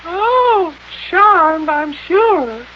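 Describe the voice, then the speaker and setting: Very shrill, coquettish widow lady. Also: lively intonation, drawn-out words, exaggerated voice. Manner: cooing, affected, baby-talking. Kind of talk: 1950s children's radio serial acting. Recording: dull, noisy old radio recording.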